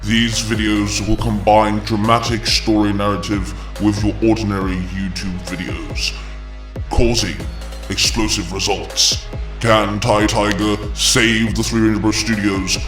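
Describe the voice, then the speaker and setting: A man's voice: fairly low pitch, flat delivery, fairly bright tone. A man playing a grandiose, gloating villain in a dramatic scripted monologue. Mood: dramatic, ominous. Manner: menacing, grandiose, deliberate. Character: domineering, gloating male villain who hijacked a channel. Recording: echoey effect with music underneath.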